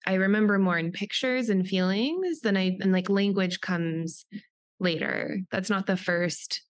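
A woman's voice: medium pitch, measured pace. A woman whose first language is Polish, thinking aloud as a podcast guest and searching for words with many fillers. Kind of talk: podcast interview.

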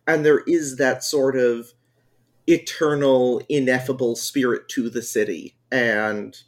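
A man speaks slowly and thoughtfully, as if searching for each phrase. His pitch sits in a middle range. He is chatting casually on a review panel. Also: steady tone. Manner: reflective, slow and searching.

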